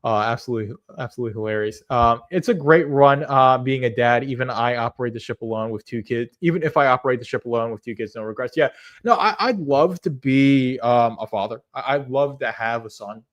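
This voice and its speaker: A man, hosting a live stream in casual chat, rambling and hesitant with many fillers and restarts, earnest and softly amused as he answers chat. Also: mid-range voice, fairly quick. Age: 20s. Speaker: livestream host.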